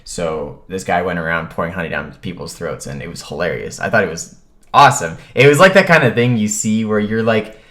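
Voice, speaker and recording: Deep-voiced man telling a casual story. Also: fairly quick. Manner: animated retelling, acts out quoted remarks. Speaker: vlogger telling a personal story.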